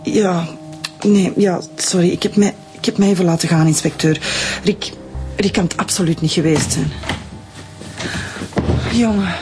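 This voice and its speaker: A woman playing a distraught middle-aged mother in a naturalistic radio drama, halting and emotional. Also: on the low side, music in the background, lightly acted. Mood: shaken, grieving. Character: distraught middle-aged mother, grieving but holding herself together.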